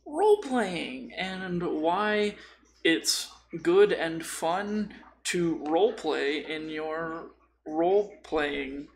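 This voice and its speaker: Higher-voiced man with a creaky edge. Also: lively intonation, extremely slow, a little hiss or hum. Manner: joking, informal, mildly profane. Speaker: podcast host. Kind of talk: casual chat about tabletop gaming.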